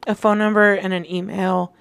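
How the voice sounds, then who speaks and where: A woman's voice: medium pitch, little pitch movement, normal speed, creaky, clean tone. A woman chatting casually as a podcast co-host, with a teasing way of talking. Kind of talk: casual chat.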